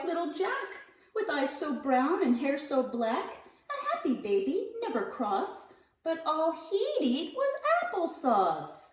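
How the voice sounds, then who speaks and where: A woman reading a picture book aloud to young children, expressively, with deliberate phrasing and drawn-out key words. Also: high-pitched. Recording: roomy echo, distant mic.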